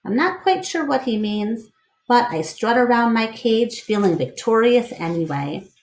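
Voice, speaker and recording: Female storyteller for children's book read-aloud: unhurried, varied intonation, roomy echoing recording. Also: fairly high pitch, lively intonation. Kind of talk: expressive children's book narration.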